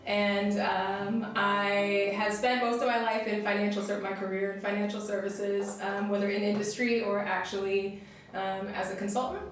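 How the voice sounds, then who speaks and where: A woman speaks in a flat, even tone and takes her time, with many fillers. She stands well back from the mic. A strong echo fills the room. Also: mid-range voice, moderate pace, slight background noise. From Southern California. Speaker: co-founder of an investing firm. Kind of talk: casual self-introduction. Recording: very echoey room, far from the mic.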